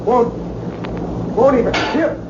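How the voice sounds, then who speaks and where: An actor playing an earnest, excitable male assistant from Canada in a sci-fi radio play, sounding agitated. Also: very high voice, slow pace. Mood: strained, hopeless. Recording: muffled, very noisy radio drama recording.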